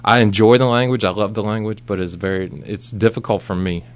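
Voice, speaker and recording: A man's voice: lowish voice, steady tone, fairly quick, clean tone. An American man of about forty, speaking casually as a guest in a radio interview. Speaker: guest, missionary. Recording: background noise and hum.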